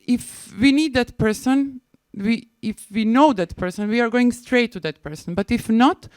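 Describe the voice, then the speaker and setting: A woman with a Serbian accent giving a prepared conference presentation in a hesitant, halting manner, often restarting phrases. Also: fairly high pitch, darkish tone, no echo. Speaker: conference presenter, maritime spatial planner.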